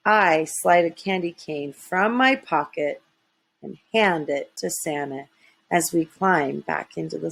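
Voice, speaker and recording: A woman's voice: deep voice, creaky, breathy edge, rough recording. A woman narrating a children's story expressively, with dramatic emphasis. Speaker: storybook reader.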